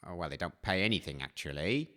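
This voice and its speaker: A grumbling, shifty railway station master acted by a man for comedy radio drama. The voice sits very low and stays quiet, muttering gruffly and crudely like an underpaid worker. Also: lively intonation, quick pace. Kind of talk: comedy audio drama acting.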